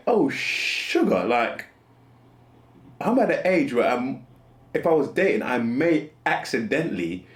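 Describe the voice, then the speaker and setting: Male vlogger, 40s, casual rambling delivery, very lively pitch, echoey room. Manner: rambling, restarts often, laughs at himself. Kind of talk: casual musing monologue.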